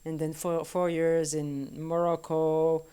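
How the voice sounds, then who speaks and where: A woman with a low, smooth, clear voice speaks slowly over a video call. She grew up in France and talks as a guest in a relaxed conversation. She pauses often to search for words. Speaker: guest vocalist. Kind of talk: casual conversation.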